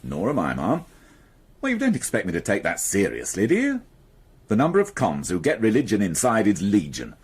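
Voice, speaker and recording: An actor playing a wry, devoted police inspector in a radio drama, speaking with dry sarcasm. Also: male voice, fairly low pitch, huge pitch swings, fairly quick, husky, heavily acted. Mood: dry, sardonic. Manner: dry, sardonic. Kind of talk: BBC radio drama acting.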